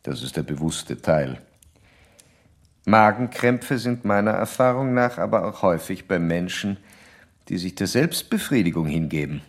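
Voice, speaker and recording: An actor playing a self-assured psychoanalyst in a radio drama, speaking in measured, formal, didactic sentences. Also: male voice, sits low, animated. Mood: clinical, probing. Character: male psychoanalyst doctor, clinical, probing, self-assured. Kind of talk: radio drama dialogue and letter monologue.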